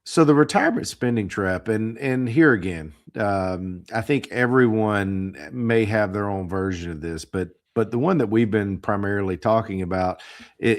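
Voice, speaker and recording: A man hosting a financial podcast, hoarse from the flu, talking casually with frequent fillers and repeated words. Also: on the low side. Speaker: podcast host.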